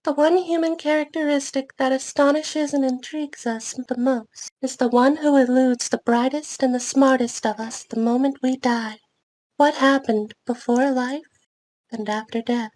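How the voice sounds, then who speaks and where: A celestial goddess, acted by a woman with a very high voice. She is formal, grave and commanding, like an ancient being in an amateur fantasy drama read. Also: fairly even intonation, normal speed, a little mellow, lightly acted, low-quality recording. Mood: solemn.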